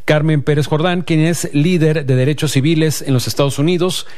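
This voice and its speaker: A man hosting a call-in radio program, reading the intro and then interviewing a guest in a measured announcer's manner. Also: fairly high pitch, fairly even intonation, a little mellow. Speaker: radio show host.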